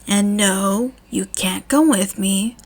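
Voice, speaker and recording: A kind teenage boy hero, voiced by a woman narrator, earnest and youthful. The pitch sits in a middle range, in the manner of an audiobook reading. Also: takes it slowly. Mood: gentle, earnest. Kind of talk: audiobook character voice.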